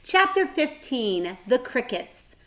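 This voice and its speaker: A woman reading a children's story aloud like a teacher, with a careful, expressive delivery. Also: sits high, slow pace.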